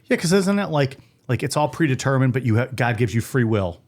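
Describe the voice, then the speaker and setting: A man from Ohio with an average-pitched voice banters on a podcast. He jokes, rants, and laughs often in loose, casual chat. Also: very animated, a little fast, a little mellow. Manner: joking, ranting, laughs often. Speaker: podcast host.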